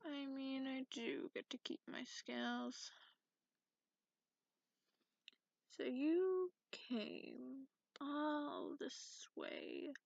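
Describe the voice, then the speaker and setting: A woman voicing a cranky, sarcastic, sleepy dragon in a breathy, half-whispered ASMR roleplay, drawling haughtily. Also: high-pitched, extremely slow, no echo, full character voice. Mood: sardonic. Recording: muffled lo-fi close recording.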